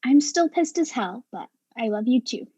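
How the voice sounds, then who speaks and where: A woman acting an exasperated, sharp-tongued professional in a darkly comic mystery play, arguing with precise sarcasm. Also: fairly high pitch, expressive, moderate pace, slightly rough, dry recording. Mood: hurt, annoyed.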